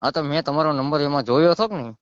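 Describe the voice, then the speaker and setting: A man's voice: fairly high pitch, racing, dry recording. A man in his thirties with a Saurashtra Gujarati accent, calling on the phone and arguing in a defensive, insistent way. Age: 30s. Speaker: caller. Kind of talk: argumentative phone conversation. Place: phone call. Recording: muffled phone call recording.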